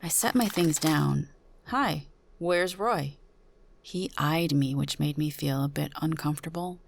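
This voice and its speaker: A woman with a low voice gives a dramatic reading of a suspense story. She narrates in the first person as the storyteller. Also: normal speed, vocal fry, darkish tone, slight background noise.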